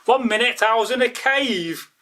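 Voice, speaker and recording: Guy doing a dim confused viking trader from a folktale, high bright voice, puzzled exclaiming. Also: lively intonation, normal speed, full character voice. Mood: bewildered, alarmed. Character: slow-witted, bewildered male Viking merchant of a folktale.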